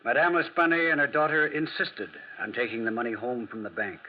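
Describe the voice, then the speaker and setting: A man's voice: mid-range voice, steady tone, brisk, close to an everyday voice. A man playing a self-assured, courteous amateur detective in a 1940s radio drama, speaking in precise, formal, theatrical oratory. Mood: measured storytelling. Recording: muffled vintage radio recording.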